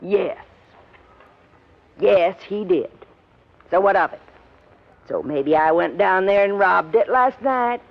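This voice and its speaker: An actress playing a bitter, domineering middle-aged working-class wife in 1950s radio drama, brusque and scornful, with ungrammatical speech. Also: female voice, mid-range voice, slow pace, creaky, long pauses. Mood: defiant. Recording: dull old 1950s radio recording.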